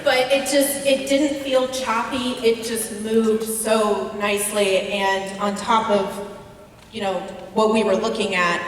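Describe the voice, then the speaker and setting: Slow-talking woman in an echoey hall. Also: mid-range voice. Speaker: festival judge giving feedback. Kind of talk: informal spoken awards feedback. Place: large indoor auditorium. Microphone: handheld microphone heard through the hall, far off. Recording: distant echoey hall, long reverb, faint noise.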